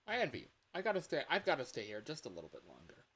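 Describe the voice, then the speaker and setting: A man's voice: fairly high pitch, huge pitch swings, racing, sharp tone, full character voice. A man voicing a chatty, resigned family-man coworker who is training a newcomer in a video game. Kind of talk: rehearsed game voice lines. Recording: clear background hiss.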